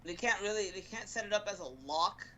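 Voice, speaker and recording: A man co-hosting casual gaming talk, halting as he searches for his words. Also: high voice, sharp tone, slight background noise. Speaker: co-host of a gaming news show.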